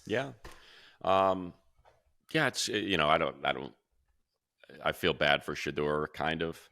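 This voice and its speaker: A man talking football in a casual sports podcast chat from a room at home, rambling with restarts and growing animated when he compares players. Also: a bass voice, measured pace, gravelly, no echo, studio quality. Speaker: radio play-by-play announcer.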